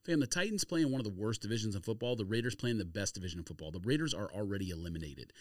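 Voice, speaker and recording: A man's voice: on the low side, animated, rapid-fire, no room sound. A man co-hosting a studio podcast in casual banter, blunt, opinionated and full of slang. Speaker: podcast co-host.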